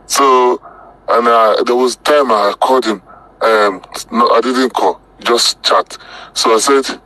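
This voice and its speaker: Bright, forceful Nigerian man's voice. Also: medium pitch, poor audio. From Delta State, Nigeria. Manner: halting, repetitive phrasing in short bursts. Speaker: storyteller recounting personal experience. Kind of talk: casual spoken testimony.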